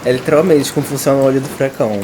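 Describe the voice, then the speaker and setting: A woman's voice: extremely low pitch, fairly even intonation, very fast, background noise. A woman with a Brazilian Portuguese accent doing casual, playful game commentary in a joking, slangy way. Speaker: gaming YouTuber. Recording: game music behind the voice.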